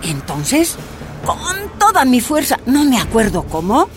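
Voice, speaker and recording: A woman's voice: high voice, huge pitch swings, unhurried, soft background music, heavily acted. An actress playing a tortured woman activist, recounting her imprisonment in anguished, emphatic first-person narration.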